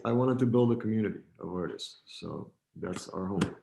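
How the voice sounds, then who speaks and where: Brief: man, guest on a video call, easygoing and joking, voice on the low side. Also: normal speed, vocal fry, noisy background. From Romania. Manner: relaxed, friendly, joking. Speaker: guest, gallery founder. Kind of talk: casual video-call interview.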